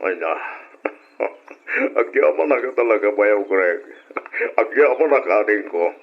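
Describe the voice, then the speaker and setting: A man's voice: on the low side, lively intonation, unhurried, creaky, full character voice. An actor playing a hard-up older brother in an old radio drama, effusive in his delivery. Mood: grateful. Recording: dull lo-fi old radio recording.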